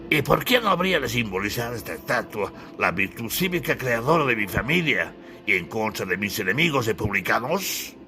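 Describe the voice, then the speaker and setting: A man's voice: medium pitch, very animated, normal speed, voice pushed up. A man voicing a proud, grandiose Renaissance duke in an audiobook, declaiming pompously. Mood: proud, musing. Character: proud, grandiose Renaissance duke, self-styled son of Zeus. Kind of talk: dramatised audiobook.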